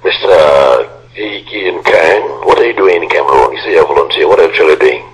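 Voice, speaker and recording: A man hosting a radio interview, asking questions slowly and formally. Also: extremely low pitch, expressive, low-quality recording. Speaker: radio host. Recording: radio broadcast.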